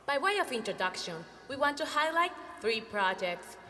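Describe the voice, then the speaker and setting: A woman from El Salvador giving a rehearsed student team presentation in a clear, measured way. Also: high voice, sing-song, pushed and bright, in a large hall, soft background music. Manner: clear, measured delivery of prepared lines.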